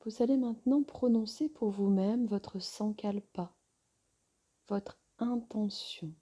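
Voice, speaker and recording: A woman yoga teacher guiding a relaxation meditation in a soft, soothing, breathy voice with long pauses. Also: medium pitch.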